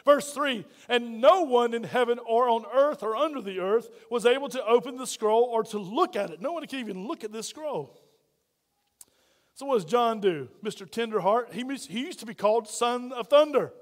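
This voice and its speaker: A man preaching a sermon as pastor, loud and folksy, full of playful asides to the congregation. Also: extremely high pitch, animated, moderate pace, big-room sound.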